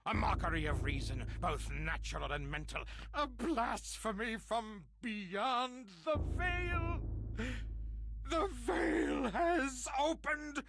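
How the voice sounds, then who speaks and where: Half-mad doomsayer, slow and theatrical. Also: male voice, very high voice, gravelly, noisy background, exaggerated voice. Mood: horrified awe. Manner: grandiloquent, dramatic, swinging from whisper to shout. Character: tormented man raving in horror, half-mad and pompous. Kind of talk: theatrical horror game monologue.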